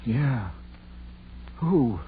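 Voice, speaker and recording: An actor playing a spooked old rustic innkeeper, folksy and halting, in a 1930s radio horror drama. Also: male voice, mid-range voice, very animated. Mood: uneasy awe. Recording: scratchy, tinny 1930s radio recording with hum.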